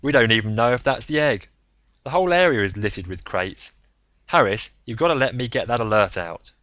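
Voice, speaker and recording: A man's voice: medium pitch, lively intonation, brisk, a bit bright, dry recording, dull audio, voice pushed up, close to an everyday voice. A man voicing a tense, harried male agency official with a harsh, urgent manner in an audiobook story. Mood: harsh, desperate.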